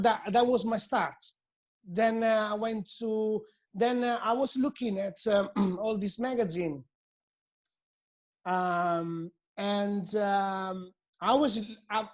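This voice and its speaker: A middle-aged Italian man with an Italian accent, a hairdresser talking as a guest in a casual interview, hesitant with many fillers and restarts. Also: high-pitched. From Italy.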